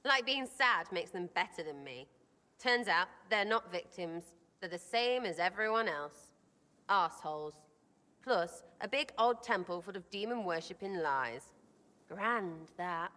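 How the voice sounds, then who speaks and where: A woman's voice: lowish voice, expressive, measured pace, sharp tone, in a large hall. A woman playing a brash, irreverent young elf rebel in a video game, with an English accent and blunt, slangy, cheeky delivery. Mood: mocking. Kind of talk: video game cutscene acting.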